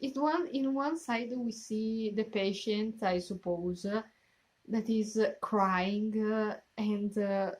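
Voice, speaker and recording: A young Italian woman talks slowly through a reaction video from her small bedroom, pausing often with "uh" as she hunts for the right English words. Her pitch sits in an ordinary middle range, and she gestures as she explains her thoughts. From Italy. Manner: searching for words, many fillers, animated hand gestures. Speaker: reaction channel host. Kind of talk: casual reaction commentary.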